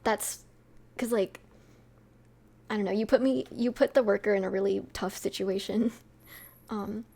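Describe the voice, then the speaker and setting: A woman's voice: mid-range voice, fairly even intonation, measured pace, creaky, dark tone. A young woman with a sweet voice, chatting casually with her stream viewers, rambling with lots of fillers and light laughs.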